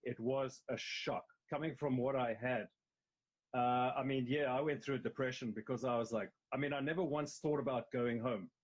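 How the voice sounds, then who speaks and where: A man's voice: medium pitch, steady tone, normal speed, slightly rough. A middle-aged man with a South African accent, a guest actor telling his story candidly in a casual interview, rambling a little and restarting phrases. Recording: slightly dull, lo-fi sound.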